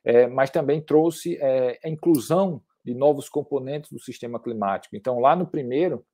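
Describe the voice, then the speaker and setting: A middle-aged Brazilian man giving an online academic lecture, haltingly, repeating words as he builds his sentences. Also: medium pitch, warm tone. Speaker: climate researcher, guest lecturer.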